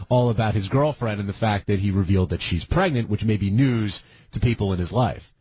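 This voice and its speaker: A man's voice: fairly low pitch, a little fast, darkish tone, a little hiss or hum. A man hosting a radio talk show, giving conversational commentary and putting questions to his colleagues. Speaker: radio wrap-up show host.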